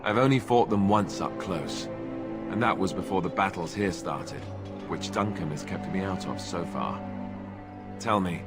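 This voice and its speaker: An actor playing a sarcastic, self-deprecating young warrior in a video game, with music behind him. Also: male voice, low voice, a little slow. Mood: friendly banter. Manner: sarcastic, quipping. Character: wry, self-deprecating young male warrior monk-turned-knight. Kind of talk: video game dialogue acting.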